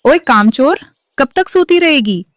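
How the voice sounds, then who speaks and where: High-pitched woman playing nasty cartoon stepmother, sharp, bossy, spiteful, dry close sound. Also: lively intonation, a little slow, dry recording. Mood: harsh scolding. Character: cruel, shrill stepmother, scolding and spiteful. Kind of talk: dramatized cartoon storytelling.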